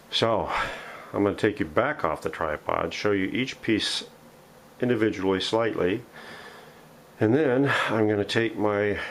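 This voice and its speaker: Low, gravelly man showing his workshop project. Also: slight background noise. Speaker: host of a vintage radio restoration channel. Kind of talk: casual hands-on workshop vlog, unscripted show-and-tell. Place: home living room and workshop, indoors.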